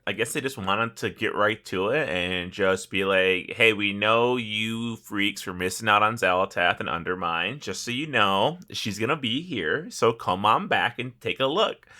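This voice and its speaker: A man's voice: on the low side, pushed and bright. A man co-hosting a casual podcast about gaming, talking in an informal, jokey way. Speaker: podcast co-host. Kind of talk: casual chat about a video game.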